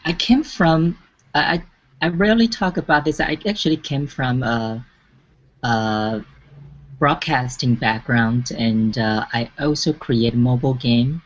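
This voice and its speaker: Slow, hesitant man on a video call. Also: medium pitch. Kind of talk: casual panel chat. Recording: dull lo-fi video call audio.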